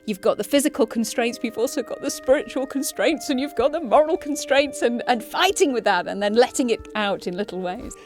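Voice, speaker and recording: A woman's voice: fairly high pitch, a little fast, hardly any pauses, darkish tone. An actress talking earnestly and reflectively about her role in an interview. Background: music.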